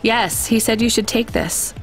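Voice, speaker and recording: A woman's voice: fairly high pitch, measured pace, soft background music, natural voice, poor audio. Woman playing a guarded, independent delivery driver. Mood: guarded, polite. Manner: guarded, matter-of-fact. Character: sceptical, independent young female delivery driver. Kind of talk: naturalistic video game dialogue.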